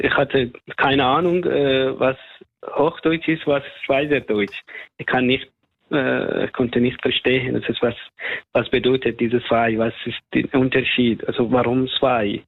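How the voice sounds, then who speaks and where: A man's voice: medium pitch, fairly even intonation, unhurried, no echo. Iranian guy, 30s, hesitant, hunts for words, tinny phone-ish clip. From Iran. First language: Persian. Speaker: interviewee.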